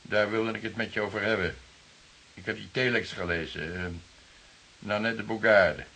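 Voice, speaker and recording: Bright-voiced, stern police commissioner. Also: male voice, lowish voice, fairly even intonation, normal speed, slight background noise. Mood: stern, formal. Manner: authoritative, clipped, formal. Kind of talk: Dutch radio drama acting.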